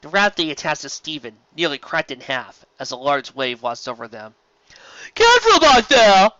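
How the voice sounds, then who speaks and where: A man reading scripted fiction aloud as an expressive amateur narrator. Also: mid-range voice, normal speed, no echo, muffled recording.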